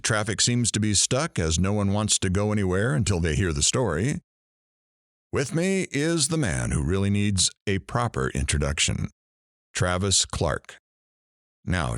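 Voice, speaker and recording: A man playing a weary, reflective radio talk show host in an audio drama, speaking in a solemn, measured, theatrical way. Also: lowish voice, no echo, studio quality.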